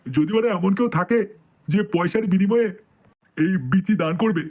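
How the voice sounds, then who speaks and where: A man's voice: high-pitched, moderate pace, a little mellow. A man voicing a foul-mouthed, worried, grumbling old village grandfather in a comedy cartoon. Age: older. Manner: grumbling, crude.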